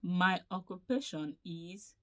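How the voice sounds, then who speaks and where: Woman teaching phrases, low voice, super slow and patient, says everything twice. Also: animated, fairly bright tone. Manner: measured, repeats each phrase slowly for learners. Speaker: language teacher.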